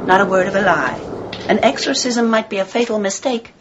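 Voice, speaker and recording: A woman's voice: mid-range voice, a little fast, close to an everyday voice. A woman playing a sardonic, menacing demon inside a possessed girl in a radio horror drama, taunting with theatrical, clever wordplay. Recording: dull, noisy radio-play recording with clicks.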